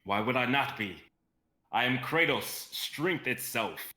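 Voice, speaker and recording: A man playing a proud, easygoing god of strength with an assured, relaxed manner in a dramatic reading. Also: medium pitch, slow, reverberant, pitched above the performer's own voice.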